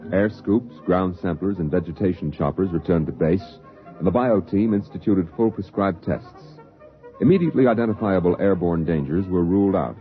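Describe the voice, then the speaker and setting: A man with a very deep voice narrates in a formal, official manner. It is old radio drama narration, dull in tone, with music behind it. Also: moderate pace. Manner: official, documentary-like. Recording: dull vintage 1950s radio recording.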